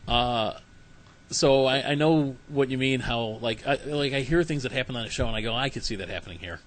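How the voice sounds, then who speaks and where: A man's voice: medium pitch, brisk. Guy podcast host just chatting, kinda rambly and self-deprecating, faint hiss of noise behind. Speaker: podcast host interviewing guests. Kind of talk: casual conversational interview. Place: home basement podcast setup.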